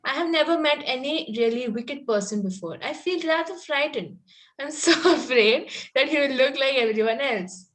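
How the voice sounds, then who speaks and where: A woman's voice: high voice, normal speed, a bit bright. A woman reading an earnest, precocious young Victorian lady in a comedy table read, formal with some stumbles over the script. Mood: nervous.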